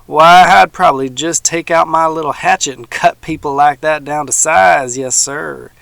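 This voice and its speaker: A man voicing a gruff, menacing old male swamp hermit with a folksy backwoods drawl. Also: mid-range voice, a little fast, a bit bright, no echo.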